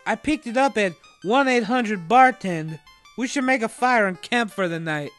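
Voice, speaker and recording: Man as high-voiced, matter-of-fact handyman sidekick. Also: expressive, moderate pace, fairly bright tone, soft background music. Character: helpful male groundskeeper handyman sidekick. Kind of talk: comedic audio drama acting.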